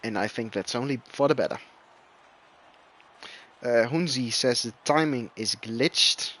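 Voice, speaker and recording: A man whose first language is Dutch, commentating live on an online racing game with excitable bursts. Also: mid-range voice, measured pace. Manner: excitable, rising loud bursts at crashes. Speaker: esports race commentator.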